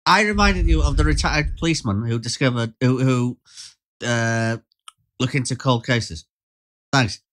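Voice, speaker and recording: A man's voice: medium pitch, a little slow, fairly bright tone, poor audio. A man chatting casually and agreeably as a podcast panelist. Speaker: podcast panelist and amateur actor. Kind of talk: casual chat.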